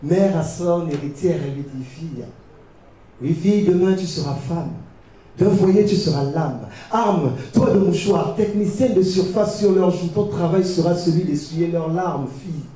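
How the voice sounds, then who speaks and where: A male slam poet from Gabon performs in a very reverberant venue, heard at some distance from the microphone. His delivery is declamatory and theatrical, with measured pauses, and his tone is soft-edged and mellow. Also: on the high side. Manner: declamatory, dramatic phrasing with pauses. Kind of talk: slam poetry recited on stage. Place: live poetry competition venue. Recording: distant mic, very echoey venue.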